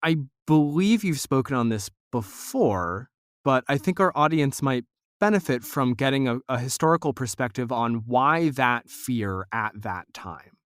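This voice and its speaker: A man's voice: mid-range voice, expressive, unhurried, a bit bright, clean tone, no room sound, studio quality. A man hosting an interview podcast, talking in an articulate, reflective way with fairly formal wording. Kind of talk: thoughtful interview conversation.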